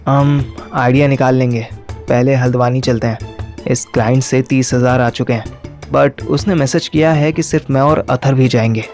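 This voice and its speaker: A man's voice: medium pitch, fairly even intonation, moderate pace, darkish tone, faint music behind. A man narrating a first-person story and acting its dialogue in colloquial Hindi mixed with English. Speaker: narrator and lead character of an audio story.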